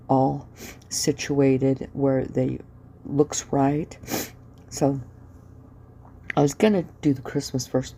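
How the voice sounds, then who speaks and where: Low, mellow-voiced sniffly crafting woman. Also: slight background noise. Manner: rambling, many ums and uhs, chatty. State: sniffly nose. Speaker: craft channel host. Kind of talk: casual craft tutorial narration.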